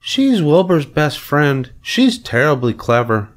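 A man's voice: fairly high pitch, sing-song, takes it slowly, pitched above the performer's own voice. A man playing a young farm girl in a storybook, chatting in an eager, matter-of-fact, childlike way.

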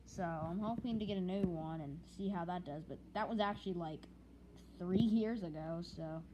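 A noisy, click-flecked recording carries a mid-pitched, female-sounding voice. The speaker, from the United States, talks casually and off the cuff, hesitating and circling back over words in a rambling update. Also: normal speed. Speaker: hobbyist video creator. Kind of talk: casual unscripted video update.

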